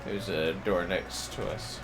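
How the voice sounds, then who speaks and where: A man's voice: low-pitched, steady tone, measured pace, music in the background. A man giving casual, slangy commentary to himself while livestreaming a video game. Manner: informal, slangy. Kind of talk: casual gaming commentary, muttering to self.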